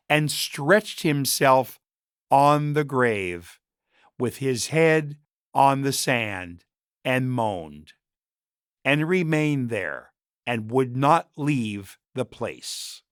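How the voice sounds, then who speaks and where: A man reading a story as a narrator, in a measured and expressive scripted style. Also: mid-range voice, clean tone, no room sound, clean studio sound.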